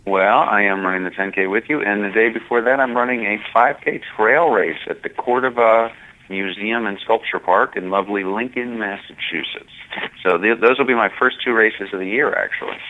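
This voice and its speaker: A man's voice: on the low side, normal speed. A man calling in by phone to his podcast, chatting in an upbeat, jokey way. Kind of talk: casual chat. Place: hotel, away on business. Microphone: phone call. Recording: tinny phone line.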